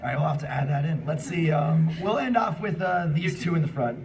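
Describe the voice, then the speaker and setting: A man casually hosting a live convention panel, chatting in an animated, jokey, informal way. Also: a little high, brisk. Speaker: panel host. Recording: distant mic in noisy convention room.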